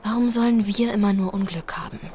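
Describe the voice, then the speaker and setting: A woman acting a devoted young wife in a radio drama, over faint scene ambience. Her voice is medium in pitch, gentle and reassuring, full of steady hope. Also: quick pace, a little hiss or hum, close to an everyday voice. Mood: hopeful, tender. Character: hopeful, devoted young working wife and mother. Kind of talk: German radio play acting.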